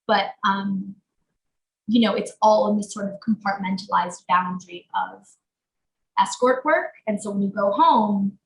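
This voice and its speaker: A woman's voice: mid-range voice, gravelly. A young adult woman actor whose first language is Portuguese, talking casually in an interview with many fillers. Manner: chatty, lots of fillers like "um" and "like". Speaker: actor guest. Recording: roomy echo.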